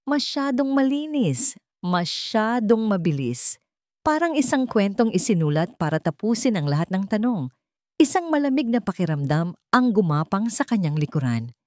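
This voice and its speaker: A woman with a Filipino accent reads a scripted dramatic story in a measured, deliberate way. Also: medium pitch, sing-song, a little mellow, no echo, clean studio sound. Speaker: narrator.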